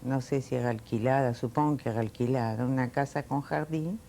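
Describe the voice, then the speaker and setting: A woman in her late sixties, born in Italy, telling her life story in an oral history interview, reflective and unhurried with frequent fillers. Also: very deep voice, vocal fry, mellow, a little hiss or hum. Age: 60s.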